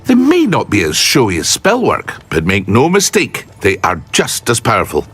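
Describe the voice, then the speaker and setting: Effusive, swooping potion shopkeeper. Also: male voice, fairly low pitch, huge pitch swings, normal speed, fairly bright tone, slightly rough, full character voice. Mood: enthusiastic, salesmanlike. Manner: effusive, theatrical, proud of his craft. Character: chatty, enthusiastic male potion shopkeeper, eager salesman. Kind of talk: video game character acting.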